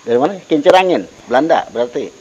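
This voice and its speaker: A man's voice: a little high, sing-song, fast talker, a little mellow, husky. A man, questioning someone in an unscripted paranormal investigation, repeating questions and echoing back answers. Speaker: host questioning a supposedly possessed person. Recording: noisy lo-fi field recording with insects.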